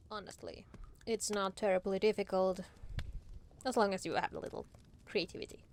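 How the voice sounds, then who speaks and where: A woman's voice: fairly high pitch, creaky, a little mellow, full character voice. A woman playing a proud, teasing demon lord in a roleplay ASMR monologue, casually regal and playfully menacing.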